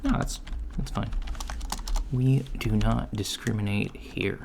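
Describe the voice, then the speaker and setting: A man playing an easygoing, reassuring alien job interviewer in a soft-spoken ASMR roleplay, talking casually and haltingly and trailing off. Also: on the low side, steady tone. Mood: friendly, matter-of-fact. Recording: lo-fi with clear background noise. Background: computer keyboard typing.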